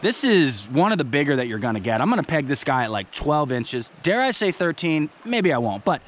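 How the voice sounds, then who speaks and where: A young man vlogging as he fishes outdoors by a creek, chatting in a relaxed, eager way into an action camera he wears. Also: fairly high pitch, fairly quick. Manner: relaxed, chatty, enthusiastic about fish. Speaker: fishing vlogger. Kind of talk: casual outdoor commentary. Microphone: action camera on the body.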